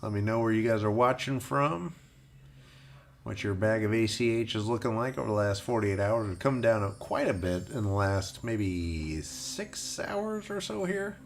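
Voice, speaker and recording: A man's voice: on the low side, animated, moderate pace, vocal fry. A man hosting a casual crypto livestream, rambling with lots of fillers and restarts while he loses his voice. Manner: reads viewer questions aloud. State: losing his voice.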